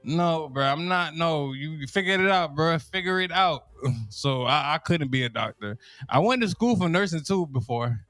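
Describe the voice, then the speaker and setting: A man's voice: on the high side, lively intonation, moderate pace, fairly bright tone, no room sound, soft background music. A young man from Miami with a Miami accent, telling comic stories in a loose, slangy way, repeating himself and laughing at his own lines. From Miami, Florida. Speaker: comedian. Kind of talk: casual stand-up style storytelling.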